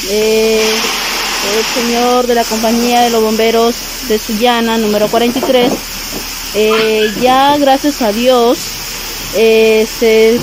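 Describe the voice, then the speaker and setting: High-voiced Peruvian woman reporting live. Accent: Peruvian Spanish. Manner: filler-heavy, repeats herself. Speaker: local news reporter. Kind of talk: on-the-spot news report. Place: outdoors at a house fire scene. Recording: loud background noise.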